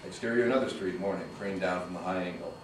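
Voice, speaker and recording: A man's voice: deep voice, fast talker. A man reading the stage directions at a screenplay table read, heard from a distance in an echoey, noisy room.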